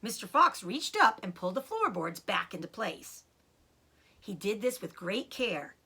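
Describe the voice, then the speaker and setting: A woman storyteller reading a children's book aloud in an expressive narrating style with dramatic emphasis. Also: medium pitch, measured pace, creaky, slightly rough.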